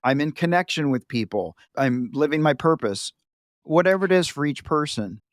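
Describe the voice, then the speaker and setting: A man's voice: fairly high pitch, expressive, moderate pace, dry recording. Brief: male podcast host, affirming, long open questions, dry close sound. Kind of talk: podcast interview.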